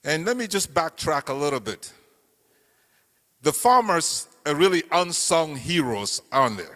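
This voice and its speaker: An older man from Cameroon speaks to a crowd in a meeting hall, his voice bright, forceful and pitched a little high. He tells his story earnestly, leaning on words slowly. The sound carries a long hall reverb. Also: big pitch swings. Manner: earnest, storytelling, slow deliberate emphasis. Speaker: political candidate speaking at a meeting. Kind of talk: campaign speech.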